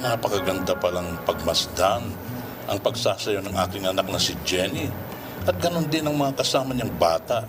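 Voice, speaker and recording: Man acting proud father, swooping low voice. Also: expressive, moderate pace, loud music behind the voice, close to an everyday voice. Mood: proud, moved. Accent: Filipino. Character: proud, doting middle-aged father. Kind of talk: Filipino radio drama acting.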